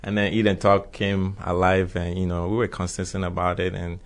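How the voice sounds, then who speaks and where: A man's voice: low-pitched, monotone, fairly quick. A young man from Cameroon, co-hosting a podcast in easygoing casual conversation, often repeating himself and using fillers. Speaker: podcast co-host.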